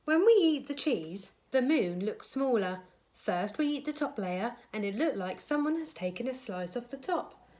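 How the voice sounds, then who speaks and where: A woman voicing a friendly, greedy cheese monster from a children's storybook, explaining things slowly and patiently. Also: fairly high pitch, animated, darkish tone, lightly acted.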